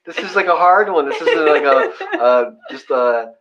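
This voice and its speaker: A man's voice: on the high side, animated, normal speed, a crowd in the background. A man co-reading guided meditation and bantering casually, in a teasing, rambling way. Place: live online session from a room. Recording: slightly dull sound, faint noise.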